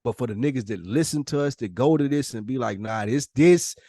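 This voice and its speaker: A man's voice: medium pitch, brisk, dark tone, no echo, studio quality. A man co-hosting a podcast in casual, candid conversation, speaking earnestly and emphatically with plenty of swearing. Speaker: podcast co-host.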